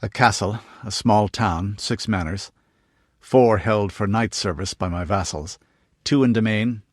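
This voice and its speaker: Male reader as a composed young baron, low voice, close and dry. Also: a little slow, dry recording, close to an everyday voice. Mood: calm. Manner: calm, listing plainly. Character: young nobleman, calm and dryly helpful.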